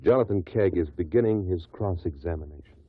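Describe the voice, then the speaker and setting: A man's voice: sits low, a little fast, husky, no echo. An American man narrating a 1950s radio drama as its announcer, solemn and portentous in scripted delivery. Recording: dull lo-fi radio recording with music.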